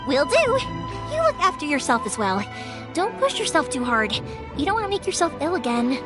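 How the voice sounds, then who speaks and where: High, perky young fireworks maker. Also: female voice, fairly quick. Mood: warm, reassuring. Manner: perky, expressive, chatty. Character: cheerful young fireworks maker woman, helpful and eager. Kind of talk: scripted video game voice acting. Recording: music playing behind the voice.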